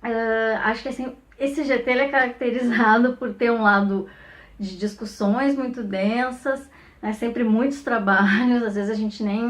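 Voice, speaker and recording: A woman with a Brazilian Portuguese accent, an academic speaking off the cuff in a conversational way, often tacking on small tag questions. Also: on the high side. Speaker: academic researcher and professor. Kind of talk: informal recorded testimonial, unscripted reflective talk.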